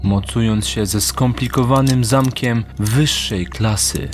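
Mid-pitched male narrator over music. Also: steady tone, slow pace. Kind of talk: scripted narration of a fantasy audio drama.

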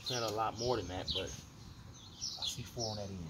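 An American man with a fairly low voice talks casually as he gardens, circling back over his words and thinking aloud in a folksy way. He is recorded on a phone in a backyard, at uneven distance, with heavy outdoor noise and birds behind him. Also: steady tone, brisk. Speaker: home gardener vlogger. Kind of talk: casual rambling outdoor vlog chat. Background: birds and outdoor ambience.

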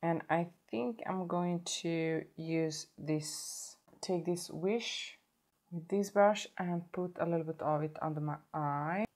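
A woman's voice: fairly low pitch, fairly even intonation, slow pace, a little mellow. A woman in her thirties with a sore throat, chatting through a makeup tutorial and stopping often to correct herself. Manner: chatty, self-correcting, stops to show products. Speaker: beauty vlogger. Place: indoor home filming set.

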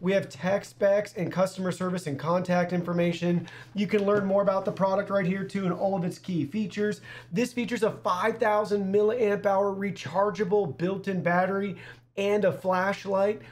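A young man reviews a product to camera. His voice sits high for a man, and his delivery is upbeat and presenterly. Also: level tone, moderate pace. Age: young adult. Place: small indoor studio.

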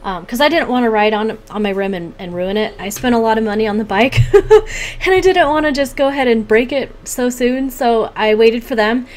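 A woman in her thirties with a fairly high voice talks casually to camera like a home vlogger. Her delivery is chatty and animated, recorded in a room with faint background noise. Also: normal speed. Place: small home room, indoors.

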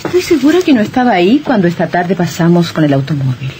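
An actress playing a young, loving but uneasy newlywed wife in an old radio drama. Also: female voice, lowish voice, big pitch swings, quick pace, mellow. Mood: curious, puzzled. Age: young adult. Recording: old radio recording with faint hiss.

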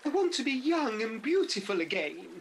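A woman's voice: fairly high pitch, sing-song, normal speed, slight background noise. A woman playing a nervous, grumbling older pantomime dame in a radio play, exclaiming melodramatically. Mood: eager.

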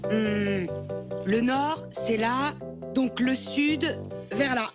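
Cartoon boy: high-ish voice, very deliberate pace, impatient and serious, music underneath. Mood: concentrating. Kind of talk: French cartoon voice acting.

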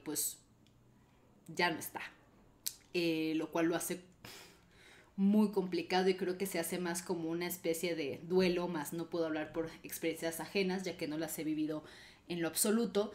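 A woman's voice: lowish voice, steady tone, moderate pace. A young woman from Venezuela with a light Venezuelan accent, hosting a podcast and explaining things thoughtfully, with many fillers, from a small bedroom streaming setup. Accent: Venezuelan, softened. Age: young adult. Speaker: podcast host. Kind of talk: casual reaction commentary.

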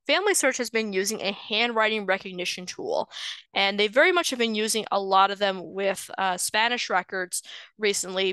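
Bright, clear woman lecturing on genealogy. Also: mid-range voice, dry recording. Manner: explanatory, friendly, with frequent um and uh fillers. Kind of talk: screen-share webinar lecture. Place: indoors, voice over shared slides.

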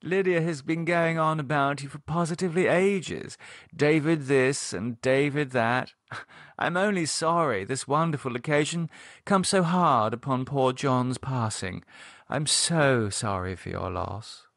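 A man's voice: medium pitch, a little slow, a bit bright, dry recording, studio quality, pitched above the performer's own voice. A man voicing a flirtatious upper-class English society wife in an audiobook, effusive and coquettish. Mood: gushing. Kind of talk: audiobook character voice.

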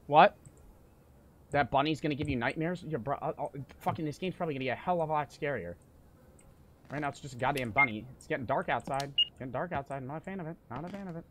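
A young man streaming a horror game, panicking, swearing and yelling in fright while reading notes aloud. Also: on the high side, fast talker, a little hiss or hum. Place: small room at home. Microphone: desk mic on stand.

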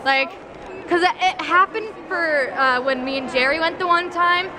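Young american girl vlogger rambling loud n casual, high voice, super noisy crowded mall around her. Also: moderate pace, crowd noise. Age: young adult. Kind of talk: casual chat to camera while walking. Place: large indoor shopping mall, walking. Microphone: handheld camera at arm's length.

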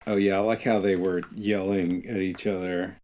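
A man co-hosting a podcast, discussing a book casually and somewhat haltingly as he searches for words. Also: low-pitched, level tone, a little mellow. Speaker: podcast co-host.

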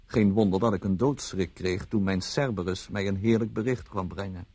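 A man's voice: low-pitched, normal speed, breathy edge, no room sound. An actor from the Netherlands playing a droll, world-weary bon vivant who narrates his own story in a radio drama. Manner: florid, droll, deadpan.

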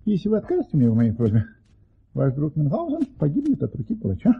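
An actor playing a courteous, boastful, unflappable nobleman adventurer in an audio play, self-assured and courtly, with a husky, gravelly voice. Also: a little high, huge pitch swings, fast talker, dull audio, full character voice. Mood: unruffled.

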